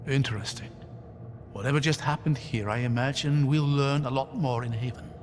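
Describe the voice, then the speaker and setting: An actor playing a curious, learned scholar in a fantasy video game, articulate, formal and thoughtful. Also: male voice, mid-range voice, moderate pace, a little hiss or hum, natural voice. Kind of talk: dramatic video game acting.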